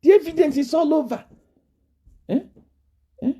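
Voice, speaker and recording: A man from Zambia preaching a fiery live-stream address, repeating himself and rising to shouts and laughter. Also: very high-pitched, moderate pace, highs cut off.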